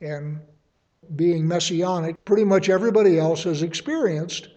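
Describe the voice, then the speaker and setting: A man teaching a verse-by-verse Bible study, reasoning aloud in a measured way and offering his readings as suggestions. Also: fairly high pitch, warm tone, big-room sound.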